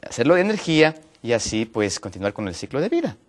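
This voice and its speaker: A man from Ecuador, a natural medicine health counselor, explaining as a TV guest with many hesitant fillers and pitch rising on his points. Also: big pitch swings, fast. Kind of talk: explaining on a TV talk show.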